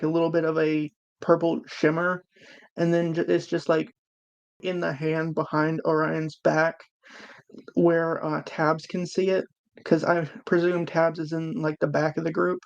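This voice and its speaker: A woman's voice: deep voice, creaky, highs cut off. A woman chatting casually as a player in a tabletop role-playing game, describing moves to the group. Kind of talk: casual chat.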